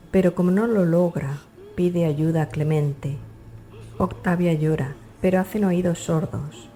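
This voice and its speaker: A woman's voice: sits low, warm tone. A woman reading literary fiction aloud as a scripted audiobook narrator.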